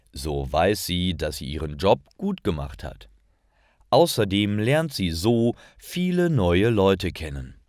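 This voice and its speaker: A man narrating scripted stories for language learners with clear, measured enunciation. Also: deep voice, no room sound, studio quality.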